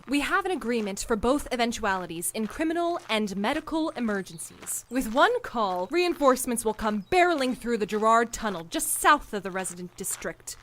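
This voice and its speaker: A woman's voice: fairly high pitch, slight background noise. A woman voicing a poised female small-town mayor who speaks in a formal, polished way and calls people dear. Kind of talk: voiced game dialogue.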